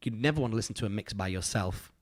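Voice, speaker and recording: Man in his thirties, low-pitched, earnest, casual chat, rambling, grateful tone. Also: quick pace. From United Kingdom. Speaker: podcast host.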